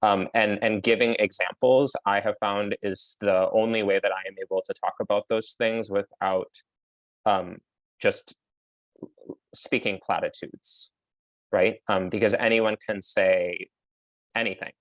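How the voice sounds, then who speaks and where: A man with a very low voice speaks slowly and thoughtfully, weighing each point and filling his pauses with ums. The sound is dry and close, with no room echo. He comes across as a faculty panelist offering careful, measured advice. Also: fairly even intonation.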